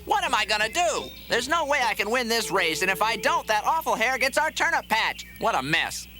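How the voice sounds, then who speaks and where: A woman's voice: on the high side, big pitch swings, fairly quick. A woman voicing a grumpy, proud little hedgehog father in a cartoon, with an exasperated, fretful way of talking. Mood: worried. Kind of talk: animated cartoon voice acting. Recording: music behind the voice.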